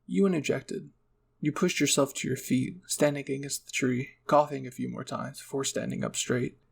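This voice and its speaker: A man's voice: medium pitch, normal speed, creaky, breathy edge. A man narrating a second-person roleplay story as a channel host.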